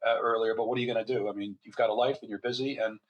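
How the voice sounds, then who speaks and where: A man's voice: on the low side, steady tone, racing, highs cut off. An older man speaking as an interview guest, telling anecdotes in a rambling, conversational way. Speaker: guest author and physician.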